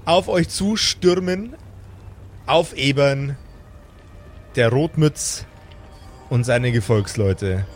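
A man's voice: mid-range voice, extremely slow, pauses often, soft background music. A man acting as game master, improvising the narration of a fantasy tabletop audio game.

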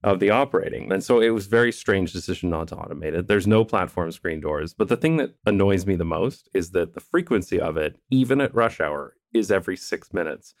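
A man's voice: low voice, lively intonation, a little fast, hardly any pauses, no room sound, studio quality. A man hosting a casual podcast conversation, explaining things at length in a thoughtful way. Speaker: podcast host.

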